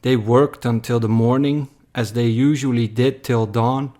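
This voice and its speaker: Male narrator for dramatic verse: unhurried, solemn, medium-pitched storytelling. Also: steady tone, dark tone. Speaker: narrator, poem reader. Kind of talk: scripted dramatic poetry narration.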